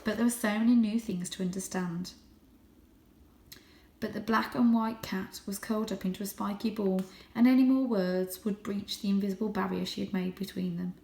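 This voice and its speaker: A woman reads a children's story aloud. Her pitch is average and her delivery is expressive and dramatic. The recording is roomy, with a noticeable echo. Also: moderate pace, gravelly. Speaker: storybook reader, teacher reading to children. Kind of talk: children's audiobook narration. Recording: roomy, echoing indoor sound.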